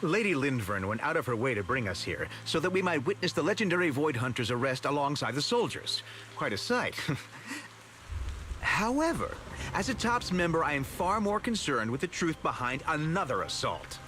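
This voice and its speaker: Pompous, animated dignitary. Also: male voice, a little high, normal speed, a little hiss or hum. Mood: smug. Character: suave, self-important male dignitary, smoothly probing. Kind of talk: anime game voice acting.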